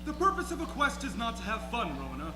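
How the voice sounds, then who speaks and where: A man playing a lively, blunt storybook royal in a stage readers theatre show. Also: very high-pitched, fast talker, noisy background, exaggerated voice. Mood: cheerful, fatherly. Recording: echoey room, music over voice.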